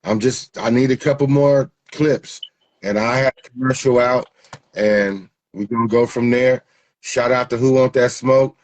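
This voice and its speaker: A man's voice: mid-range voice, steady tone, unhurried, darkish tone, no echo. A man in his fifties chatting casually as an interview guest, plain-spoken and slangy. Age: 50s. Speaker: music producer, interview guest. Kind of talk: casual chat.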